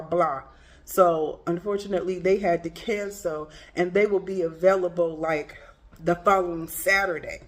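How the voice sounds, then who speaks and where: A woman with a congested, stuffy voice telling a chatty, exasperated story for her travel vlog in casual slang. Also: lowish voice. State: congested from a cold. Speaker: travel vlogger.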